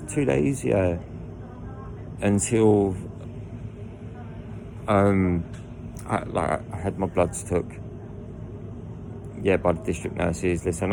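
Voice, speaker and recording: A man with a croaky voice, telling his own story in a rambling way full of restarts and asides. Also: deep voice, background noise. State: croaky voice from lying down. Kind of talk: candid personal storytelling. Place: indoors lying down at home.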